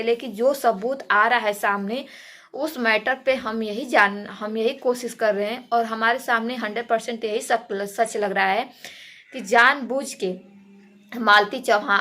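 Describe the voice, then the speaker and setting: A woman's voice: on the high side, a bit bright. A woman gives informal commentary to viewers, emphatic and repeating phrases for stress. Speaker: reaction video host. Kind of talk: informal commentary on a viral dispute, addressed to viewers.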